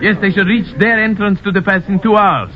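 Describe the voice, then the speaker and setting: An actor playing a grave, loyal army lieutenant with formal, earnest, theatrical old-time radio delivery. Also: male voice, sits high, steady tone, a little fast, close to an everyday voice. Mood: urgent, alarmed. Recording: muffled, noisy old radio recording.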